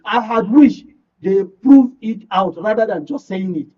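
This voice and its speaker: Male Nigerian guest pundit, high-pitched, dark raspy tone, dull broadcast audio. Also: a little slow. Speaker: guest commentator. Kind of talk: political discussion. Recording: muffled, dull broadcast sound.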